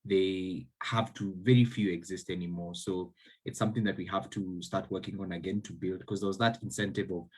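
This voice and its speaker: A man's voice: sits low, steady tone, a little fast, a little mellow, husky. A young man, talking earnestly as a debate panelist. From Kenya. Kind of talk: casual panel discussion.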